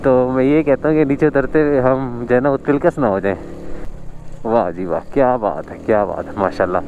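A man's voice: mid-range voice, moderate pace, phone-quality sound, slight background noise. A man chatting casually while riding a motorbike outdoors on a snowy mountain road, marveling at the scenery and chuckling often. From Pakistan. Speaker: vlogger. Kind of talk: casual motorbike ride commentary.

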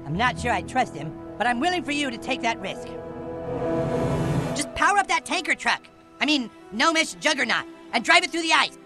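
A man's voice: very high voice, sing-song, normal speed, music in the background, heavily acted. A man voicing a scheming, boastful, bossy cartoon boy who whines and gloats. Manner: whiny, gloating and shouty. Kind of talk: cartoon game cutscene acting.

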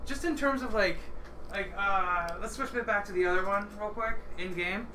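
A man's voice: high voice, moderate pace, sharp tone. A man hosting a casual board game livestream in an informal, enthusiastic way. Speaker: livestream host. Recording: distant mic, clearly noisy livestream audio.

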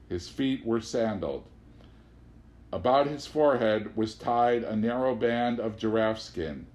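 A man reading literary prose aloud as an audiobook narrator, with an expressive, theatrical delivery. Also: mid-range voice. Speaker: audiobook reader.

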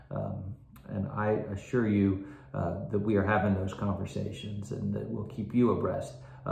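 A man in his thirties, a priest, addressing his congregation at home, halting with many fillers and restarts, then leading a prayer. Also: fairly low pitch, gravelly, a little mellow. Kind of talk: informal address and collect prayer. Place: home room, indoors. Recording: roomy echo.

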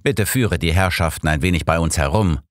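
A man voicing a huge, brisk workhouse master in an audiobook, commanding yet formally polite. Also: low voice, no room sound, clean studio sound, natural voice. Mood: brisk, obliging.

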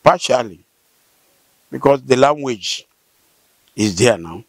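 An older man with a southern Nigerian accent, explaining local history conversationally and haltingly, with affirming hums. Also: medium pitch, animated, dry recording. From Rivers State, southern Nigeria. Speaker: interviewee, local elder. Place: outdoors, seated by corrugated metal fence. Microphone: handheld interview mic held by host.

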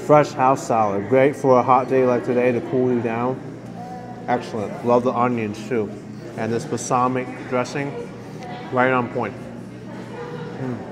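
A man's voice: mid-range voice, level tone, a little slow, pauses often, music in the background. A man vlogging a meal at a roadside restaurant, tasting dish after dish and savoring each bite with enthusiastic remarks. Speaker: food vlogger. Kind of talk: casual food vlog narration. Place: roadside restaurant in a hot desert town.

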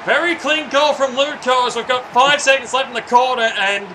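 Bright-voiced excitable male commentator. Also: very high voice, moderate pace, slight background noise. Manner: excitable, calls plays and shouts at big moments. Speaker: play-by-play commentator. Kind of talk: sports commentary on a video game match.

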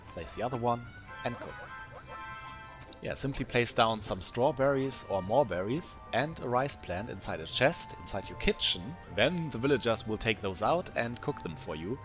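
A man with a German accent explaining game tips in a relaxed, chatty voice-over, with dry little asides. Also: medium pitch. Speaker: gaming YouTuber.

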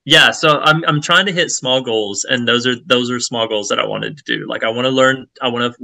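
Gravelly Southern man on a video call. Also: medium pitch, brisk, pushed and bright, no echo. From Texas. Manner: hesitant, many fillers and restarts. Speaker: actor guest. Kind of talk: casual video call interview. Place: home room with shelves, indoors. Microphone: webcam on a video call.

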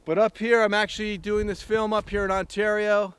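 Upbeat man with a clear, high voice. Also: little pitch movement, a little fast, fairly bright tone, no echo. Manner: enthusiastic, plainspoken, rambling. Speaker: snowmobile reviewer. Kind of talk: casual outdoor product review. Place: outdoors on a snowy farm.

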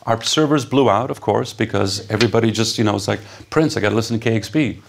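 A man in his fifties from Poland, whose first language is Polish, giving an animated, conversational stage talk with many fillers to a seated audience. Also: fairly low pitch, fast, creaky, background noise. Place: stage before a seated audience in a dark hall.

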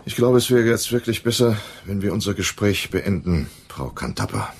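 An actor playing a shady, condescending dealer in a German radio crime drama, with a sardonic manner. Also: male voice, on the low side, sing-song, moderate pace, vocal fry, slight background noise. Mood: flustered, urgent. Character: shady, condescending male dealer in crooked business.

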